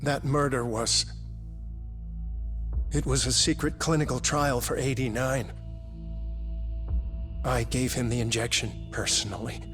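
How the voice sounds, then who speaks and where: Male researcher played by an actor: grave, formal, coldly zealous, mid-pitched, game drama with music underneath. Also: fairly even intonation, fairly bright tone, close to an everyday voice. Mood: grave, measured. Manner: measured, formal, insistent. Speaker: medical researcher. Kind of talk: dramatic video game cutscene acting.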